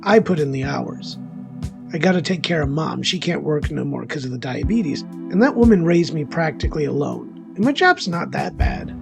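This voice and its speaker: Man playing a creaky-voiced, bitter, defensive loner. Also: fairly high pitch, big pitch swings, moderate pace, over music. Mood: defensive, aggrieved. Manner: rambling, defensive, resentful asides. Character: bitter, self-deprecating, defensive male bowling loner. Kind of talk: dramatic first-person monologue, conversational.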